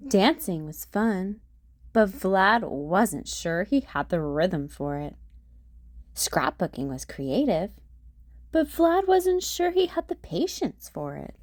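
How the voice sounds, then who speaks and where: A woman reads a children's picture book aloud at an unhurried pace, her pitch rising and falling in a lively storytelling way. The sound is dry and close. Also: dry recording. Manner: expressive, sing-song storytelling.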